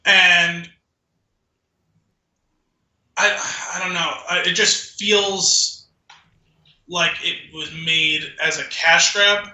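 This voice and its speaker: A man with a high-pitched, intensely bright and edgy voice talks casually as a podcast co-host, offering opinions. The sound is roomy, with clear echo around his words. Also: fairly even intonation, extremely slow, long pauses. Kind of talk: casual chat, giving opinions.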